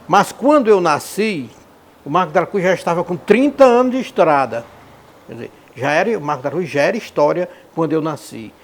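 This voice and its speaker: A man with a Northeastern Brazilian accent recalls the past in an interview. His voice sits a little high for a man and is clearly creaky. He restates phrases to drive them home. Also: expressive, a little fast. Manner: emphatic, repeats phrases for emphasis. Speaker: radio professional and columnist, interviewee. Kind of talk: reminiscing interview testimony.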